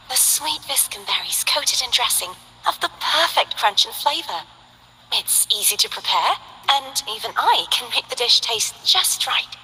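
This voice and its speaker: A woman's voice: fairly high pitch, sharp tone, breathy edge, exaggerated voice, poor audio. A woman voicing a friendly, cheerful young female dancer in a game, chatty and candid. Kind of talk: game voice lines.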